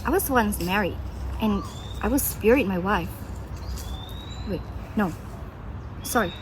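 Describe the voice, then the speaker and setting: A woman's voice: mid-range voice, lively intonation, unhurried, natural voice. A woman playing a chatty, deferential working man in a stage play, rambling hesitantly. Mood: deferential, flustered. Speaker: stage actor. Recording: noisy recording with background ambience.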